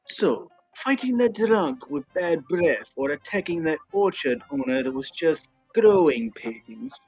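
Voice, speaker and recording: A self-righteous alchemist from a game fan dub, voiced by a man, teasing a companion in a dry manner. The voice is somewhat high and husky. The sound is dull, with faint music behind. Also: expressive, takes it slowly, darkish tone, no room sound, exaggerated voice. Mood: dry, needling. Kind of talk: amateur live fan dub of a video game. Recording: dull, lo-fi voice audio.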